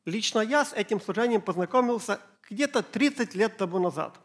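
A middle-aged man, Ukrainian his first language, preaching a church sermon in short phrases with pauses for an interpreter. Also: sits high, animated, fairly bright tone. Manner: slow, halting German, pausing phrase by phrase. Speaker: pastor.

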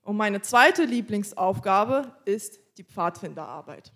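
A woman interpreting a pastor's sermon live in church, quick and casual, with small slips and restarts. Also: mid-range voice, expressive, a bit bright.

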